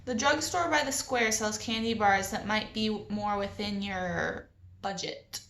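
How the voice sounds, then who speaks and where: A woman's voice: medium pitch, measured pace, fairly bright tone, reverberant. A woman voicing a stiff, prim new-age shopkeeper with formal, clipped politeness. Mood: coolly disapproving.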